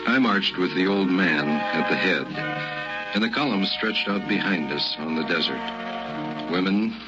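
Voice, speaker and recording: An American actor playing a man grimly recounting his past as first-person radio drama narrator, measured and grave. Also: low voice. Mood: somber. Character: grown man grimly recounting boyhood ordeal on Mars. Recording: dull radio recording, loud music behind.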